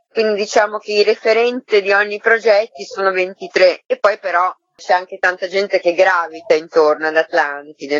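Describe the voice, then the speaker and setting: A woman's voice: lowish voice, dry recording. Reflective woman on a muffled line. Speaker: interviewed artistic coordinator of a theatre artists' project. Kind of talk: spontaneous radio interview.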